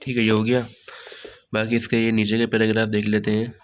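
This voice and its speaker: A hoarse man with a sore throat walking students through a study guide in a relaxed way, often saying "theek hai". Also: lowish voice, fairly quick, slight background noise. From Pakistan. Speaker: online tutor. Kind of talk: casual study-guide walkthrough.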